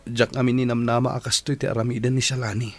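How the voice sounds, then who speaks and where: A man plays a young student nursing heartbreak in a radio drama. He speaks in an earnest, plaintive way at a middling male pitch. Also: level tone, fast talker, faint music behind, lightly acted. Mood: heartbroken, hurt.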